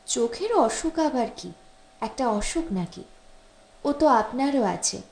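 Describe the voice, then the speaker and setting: High, echoey, teasing housewife acted by a woman. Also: animated, takes it slowly, gravelly, full character voice. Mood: evasive. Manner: teasing, gently reproachful, bossily caring. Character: teasing, hospitable young married Bengali mother. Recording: roomy, echoing voice recording.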